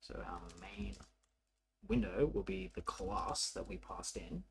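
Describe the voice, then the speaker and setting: A man narrating a coding tutorial casually, thinking aloud in an informal, halting way as he works. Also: lowish voice, big pitch swings, vocal fry. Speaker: programming tutorial presenter.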